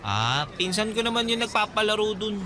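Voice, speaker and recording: A man's voice: high voice, sing-song, fairly quick, a crowd in the background. A man playing a friendly, cheeky teenage fairground boy in a radio drama, with casual teasing delivery. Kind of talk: Tagalog radio drama acting. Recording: background music, outdoor traffic sounds.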